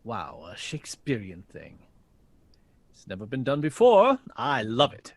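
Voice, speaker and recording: A man playing a genial, easily excited jazz bandleader in an audio drama, courteous and a little hesitant. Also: mid-range voice, measured pace, no room sound, heavily acted.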